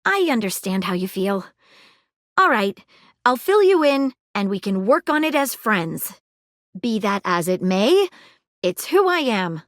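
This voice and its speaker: An earnest detective object in a dating game. She talks in formal, precise phrases with a lively, swinging pitch a little above the usual. The sound is close and dry. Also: no echo. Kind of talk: game dialogue clips. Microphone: studio booth mic.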